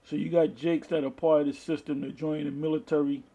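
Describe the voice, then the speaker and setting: Husky, creaky-voiced man teaching scripture. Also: fairly high pitch, flat delivery, brisk, mellow. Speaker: street-style Bible preacher. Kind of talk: Bible teaching with scripture read aloud and commentary.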